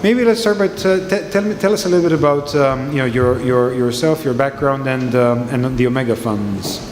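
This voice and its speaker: Guy moderating a panel, lots of uhs, roomy hall, clear background noise. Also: medium pitch, normal speed. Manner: hesitant, many fillers. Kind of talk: conference fireside chat. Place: conference hall. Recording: echoey hall with ambience noise.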